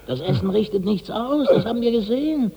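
An actor playing a harried, eager manservant in a radio drama, coaxing and declaiming theatrically. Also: male voice, high voice, animated, brisk, mellow, breathy edge, long reverb tail, full character voice, rough recording. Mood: placating. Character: harried, eager male servant feeding his master words. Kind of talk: 1960s German radio drama acting.

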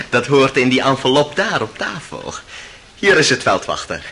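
A half-mad, bitter young witness in a radio drama. His voice is bright and swings widely while he speaks slowly, with a sardonic, theatrical edge, over a noisy old recording. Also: mid-range voice, huge pitch swings, exaggerated voice. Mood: bitter, mocking. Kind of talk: radio play acting.

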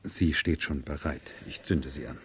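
An actor playing a deferential, uneasy retainer to a mad monarch, speaking hesitantly in a 1970s radio play. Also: male voice, extremely low pitch, fairly bright tone, husky, exaggerated voice. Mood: subdued, attentive. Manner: hesitant, halting, respectful.

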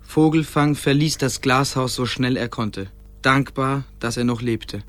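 A man's voice: mid-range voice, unhurried, fairly bright tone, natural voice. A man narrating a radio play in a scripted storytelling style.

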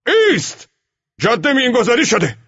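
A man's voice: on the low side, breathy edge, pitched above the performer's own voice. A man voicing a hot-tempered, commanding army sergeant in a war story, barking urgent orders and warnings. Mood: alarmed shouting. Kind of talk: dramatized war story narration. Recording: muffled, echoey room recording.

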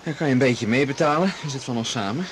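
A man's voice: medium pitch, fast, natural voice. A man playing an eager, reassuring boyfriend in a radio drama, with an easygoing, informal way of talking. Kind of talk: 1980s Dutch radio drama acting. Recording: noisy 1980s radio recording.

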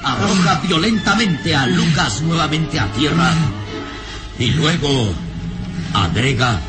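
A man's voice: a little high, lively intonation, slow. A man narrating an old radio serial in a dramatic, scripted style. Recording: vintage radio serial, loud music behind.

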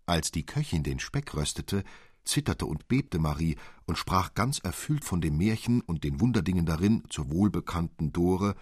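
A man narrating a literary audiobook expressively, in a measured, careful storytelling manner. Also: deep voice, lively intonation, darkish tone, slightly rough, no room sound, studio quality.

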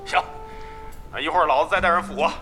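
A man's voice: fairly high pitch, quick pace, pushed and bright. A man acting a brash, foul-mouthed, shameless older gamer in a radio drama, boastful, crude and theatrical. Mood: defiant. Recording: studio voice over clear background music.

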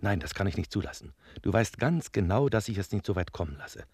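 An actor playing a blustering, scolding but soft-hearted innkeeper in a German radio drama, indignant and exclamatory. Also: male voice, on the low side, expressive, fairly quick, husky, no room sound. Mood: relenting, pleading.

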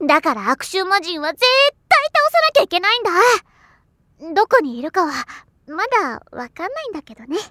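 A woman's voice: extremely high pitch, sing-song, brisk, bright, forceful tone, no echo, clean studio sound, heavily acted. A woman voicing a bubbly, cheeky little fairy girl with a cute childlike voice and a perky, bossy lecturing manner in an anime-style audio drama. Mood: animated, earnest. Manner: perky, lecturing with mock authority.

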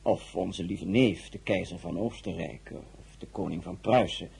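Very husky, stately Tsar. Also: male voice, low-pitched, darkish tone, heavily acted. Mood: courtly. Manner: formal, measured, stately. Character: suave, imperious Tsar of Russia, courtly and probing. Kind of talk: radio drama acting.

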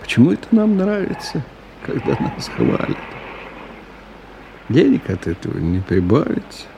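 An actor playing a middle-aged businessman in a radio drama, ironic and musing, in an unhurried way. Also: medium pitch, wildly swooping pitch, background noise. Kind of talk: radio drama acting, interior monologue.

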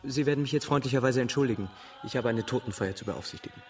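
A man's voice: medium pitch, quick pace, distant mic, lightly acted. A man playing a politely dismissive, busy temple monk in a radio drama, formal and evasive.

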